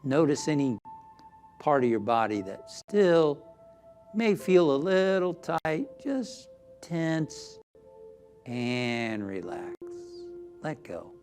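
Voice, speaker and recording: A man leading a guided meditation as a minister, gentle and soothing, often softening toward a whisper. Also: on the high side, sing-song, slow pace, pauses often, faint music behind.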